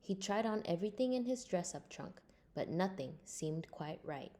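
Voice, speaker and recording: A woman reading a scripted children's storybook aloud in an expressive way. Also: fairly low pitch, moderate pace. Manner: expressive, acts out character lines. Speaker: storybook reader.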